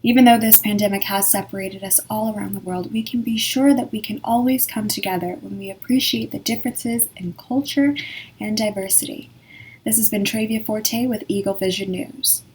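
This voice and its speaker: A woman reads a student news report in a husky, slightly breathy voice. Her delivery is earnest and plainly read aloud. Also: mid-range voice, normal speed, a little mellow, low-quality recording.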